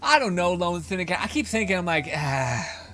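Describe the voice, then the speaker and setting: A male game streamer who rambles playfully, laughs a lot and swears freely. His voice sits a touch high, with faint music playing behind him. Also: sing-song, moderate pace, sharp tone. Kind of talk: casual livestream commentary.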